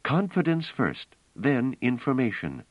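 Audiobook role: gentle, firm young woman, played by a man, lifted well above his natural pitch, emphatic, protective, slightly muffled recording. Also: wildly swooping pitch, measured pace, dry recording. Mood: firm, urgent. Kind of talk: audiobook character voice.

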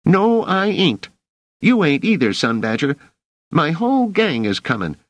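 A man voicing a scrappy, excitable young street boy in a squeaky, slangy, defiant voice for an audiobook. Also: a little slow, dry recording. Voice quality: squeaky, shrill. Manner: slangy street talk with dropped endings.

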